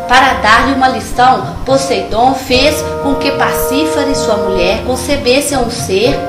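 A woman's voice: high voice, unhurried, far from the mic. A woman voicing a kindly, learned old grandmother who patiently tells stories in a cartoon. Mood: storytelling, grave. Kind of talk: storytelling in an amateur cartoon. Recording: roomy echo, music behind.

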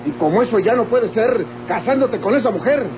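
An actor playing a resentful, proud young rancher brother in an old radio drama, with a Mexican accent. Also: male voice, extremely high pitch, lively intonation, fairly quick. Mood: defiant. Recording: tinny, noisy old radio drama recording.

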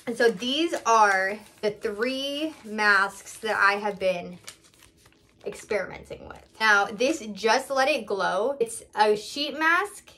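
A woman's voice: medium pitch, lively intonation, slow pace, bright, forceful tone. A young woman talking casually and candidly through a product haul, thinking aloud as she goes. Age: young adult. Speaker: salon owner vlogger. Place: small indoor room.

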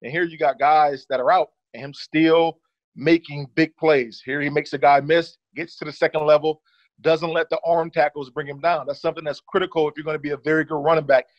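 A man in his thirties with a slightly higher voice breaks down football film in a relaxed, conversational way. His audio is dry and a little muffled, like a video call. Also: a little fast, dry recording. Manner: relaxed, leans on "certainly" and "uh". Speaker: former running back, analyst guest.

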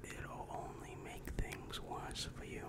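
A young man playing a calm, reassuring demon guidance counselor in an ASMR roleplay, whispering breathily and patiently explaining, close to the microphone. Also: close to an everyday voice. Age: young adult. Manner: slow, soothing, patient explaining. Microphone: close-up microphone with foam windscreen.

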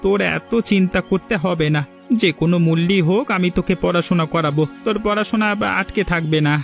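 A man's voice: high voice, steady tone, fast talker, vocal fry, soft background music. A man voicing a humble, struggling village eatery owner and devoted father in a cartoon, plaintive and earnest. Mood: sad, resolute. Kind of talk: Bengali village cartoon dialogue.

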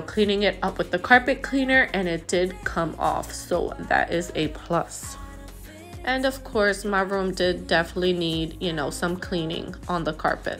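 A woman's voice: medium pitch, sharp tone. A woman in her thirties, a stay-at-home mom, giving a casual, upbeat voice-over about cleaning her house, with small laughs.

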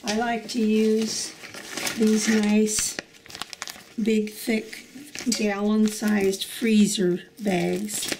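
Slow-spoken older woman cook. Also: mid-range voice, level tone, recorded from a distance, noisy background. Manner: slow, homely explaining. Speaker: home cook. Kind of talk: cooking demonstration. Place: home kitchen.

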